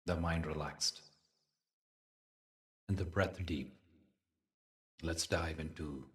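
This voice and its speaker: A man leading a guided meditation as a spiritual teacher, speaking in a soothing, deliberate manner with pauses between phrases. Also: sits low, sharp tone, distant mic.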